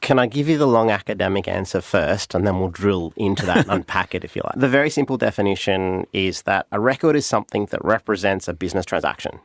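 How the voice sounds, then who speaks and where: A man with an Australian accent, a guest on a podcast, explaining ideas in a thoughtful, unhurried way with fillers and restarts. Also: low-pitched, dry recording, studio quality. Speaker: podcast guest, company CTO. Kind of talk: conversational podcast interview.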